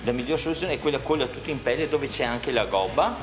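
A man briskly calling out test results in a lab, heard from some distance. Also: mid-range voice, over music.

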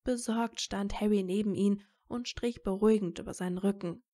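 A woman's voice: medium pitch, steady tone, normal speed, warm tone. A woman reading scripted narration for a fan fiction audio story.

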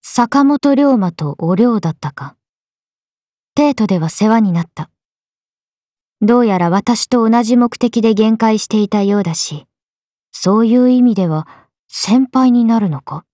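Young swordswoman in an anime game, higher register, dry studio sound. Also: expressive, a little slow, warm tone, no echo. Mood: calm, blunt. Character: swordswomen: a lively young one and her stoic alter self. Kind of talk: video game character voice lines.

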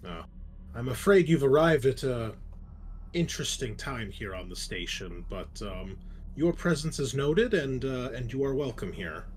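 A man's voice: mid-range voice, big pitch swings, darkish tone, a little hiss or hum. Man playing a courteous, formal android station guard. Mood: polite. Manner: formal, polite, measured.

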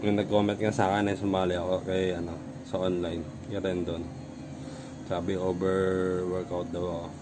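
A man's voice: low voice, little pitch movement, moderate pace, background noise. A man vlogging casually at home about his workout gear, rambling and repeating himself as he talks into a phone camera. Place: home room with gym gear.

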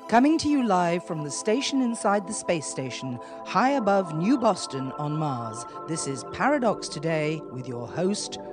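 A woman announcer reading show promos in a lively, upbeat way. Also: fairly low pitch, moderate pace, few pauses, loud music behind the voice.

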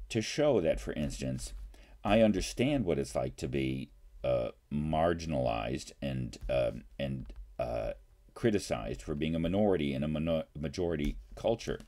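A man in his sixties, a professor giving an explanatory talk in formal, deliberate, measured phrasing. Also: very deep voice, lively intonation, warm tone. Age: 60s. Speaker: college professor. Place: small home study, indoors.

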